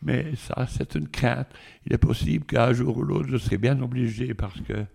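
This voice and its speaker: An older man, out of breath, answering interview questions in a halting, hesitant way. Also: medium pitch, creaky, darkish tone, husky. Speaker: interviewee, elderly resident.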